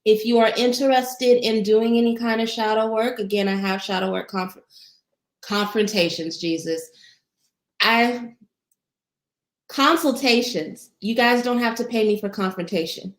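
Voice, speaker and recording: Soothing woman in a roomy space. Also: on the high side. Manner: gentle, encouraging, unhurried. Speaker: meditation teacher. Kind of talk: casual livestream Q&A. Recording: roomy echo.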